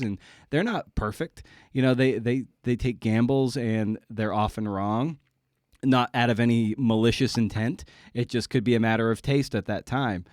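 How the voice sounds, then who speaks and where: An American man in a casual podcast conversation, with a mid-pitched voice and a very dry sound. He talks haltingly, restarting and repeating words while he feels his way through a thought. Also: dry recording. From Massachusetts, United States. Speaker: podcast host interviewing a writer.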